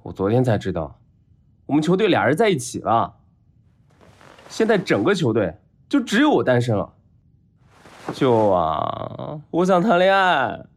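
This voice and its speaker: An actor playing a spoiled, dramatic, lovelorn heir of about thirty in a modern audio drama, with a whiny, theatrical delivery. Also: male voice, mid-range voice, huge pitch swings, measured pace, pauses often, exaggerated voice. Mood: dejected, wistful.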